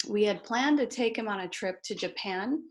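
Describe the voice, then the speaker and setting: A woman from Florida, around her forties, with a mid-pitched voice. She delivers a prepared first speech over a video call at an earnest, measured pace. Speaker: first-time speaker.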